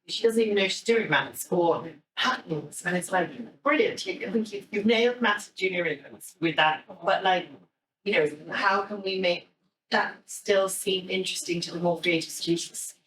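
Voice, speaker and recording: A woman's voice: fairly low pitch, normal speed, a bit bright. An Irish woman speaking informally and enthusiastically as a guest speaker in a discussion with an audience. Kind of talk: conversational talk and audience discussion. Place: indoor talk before an audience. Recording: echoey room, distant microphone.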